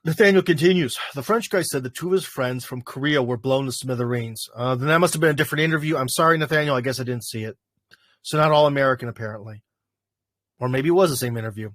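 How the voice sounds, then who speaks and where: Male livestream host, middle-pitched, loose and rambling, dead dry sound. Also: quick pace, fairly bright tone, dry recording. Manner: rambling, filler-heavy, thinking aloud. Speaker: livestream host answering viewer questions. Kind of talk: casual livestream chat.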